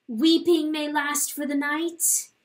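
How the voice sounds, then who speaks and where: A woman's voice: high-pitched, little pitch movement, slow pace, distant mic, pitched above the performer's own voice. A woman voicing a proud, troubled male rooster who leads his hens, declaiming solemnly in a storybook reading. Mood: solemn, urging. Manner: loud, declamatory, urging.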